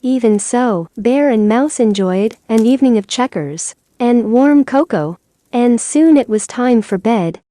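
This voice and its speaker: A woman reading a children's bedtime story aloud in a measured storytelling manner. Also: fairly high pitch, expressive, mellow, no echo. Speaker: storybook reader.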